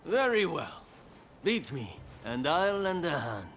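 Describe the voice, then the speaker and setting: An actor playing a confident, courteous young samurai in a video game cutscene, wry and sporting. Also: male voice, on the high side, sing-song, slow, fairly bright tone, faint music behind, full character voice. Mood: confident, challenging.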